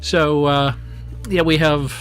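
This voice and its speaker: A man with a weak, strained voice chats loosely while he live-streams a strategy game, rambling and restarting his sentences. He speaks into a boom mic at his home desk. Faint game music runs underneath. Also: flat delivery, slow pace, fairly bright tone. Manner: chatty and rambling, with many fillers and restarts. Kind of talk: casual live-stream commentary while playing. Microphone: desk microphone on a boom arm.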